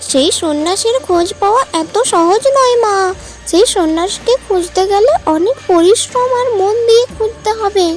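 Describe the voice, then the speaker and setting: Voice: very high-pitched, moderate pace, faint music behind. A performer voicing a motherly village aunt in a cartoon, guarded and explanatory. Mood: concerned. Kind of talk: cartoon voice acting, dramatic dialogue.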